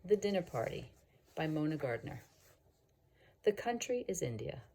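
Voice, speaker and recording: Husky, expressive woman reading a story aloud. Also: deep voice. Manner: expressive, explanatory, pausing to gloss words. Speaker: teacher reading aloud for students. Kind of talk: scripted storybook read-aloud.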